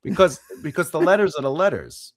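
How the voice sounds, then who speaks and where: A man's voice: fairly high pitch, lively intonation, brisk. A man with a Long Island accent hosting a podcast, chatting playfully and animatedly with his guest. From Long Island, New York. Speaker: podcast host. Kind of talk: casual conversation.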